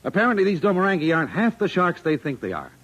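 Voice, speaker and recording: Cocky space-age engineer, vintage radio drama: punchy pep-talker, fairly high voice, very animated. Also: male voice, quick pace, muffled recording, full character voice. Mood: combative, confident. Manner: emphatic, confident, punchy. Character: cocky, combative Earth engineer, pep-talking and brash. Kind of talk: 1950s radio drama acting.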